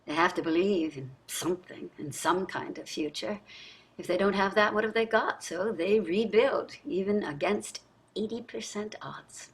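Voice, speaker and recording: A woman's voice: mid-range voice, normal speed, lightly acted, low-quality recording. An older woman playing a wealthy, weary and sardonic wife and mother in a staged play reading, pointed and dramatic in her delivery. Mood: resigned.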